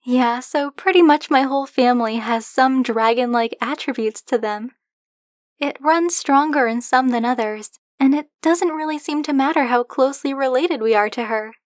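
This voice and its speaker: A woman's voice: high-pitched, moderate pace, dry recording, clean studio sound, natural voice. A woman playing a possessive, affectionate dragon-blooded librarian in an ASMR roleplay, speaking coyly and candidly to the listener in a teasing, conversational way. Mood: chatty, self-assured. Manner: coy, teasing, conversational, fond pet names.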